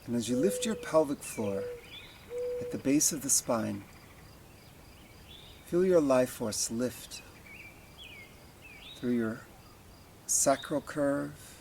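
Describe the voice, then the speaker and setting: A man's voice: mid-range voice, lively intonation, vocal fry, noisy background. Man guiding meditation over chirping insects. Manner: slow, deliberate phrasing with long pauses. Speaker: meditation teacher. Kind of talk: guided meditation.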